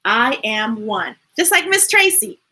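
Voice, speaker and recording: Woman, children's storytime host, high lively voice, playful emphatic read-aloud, unhurried. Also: husky. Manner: expressive, playful, emphatic reading. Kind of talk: animated read-aloud for children with chatty asides on a livestream.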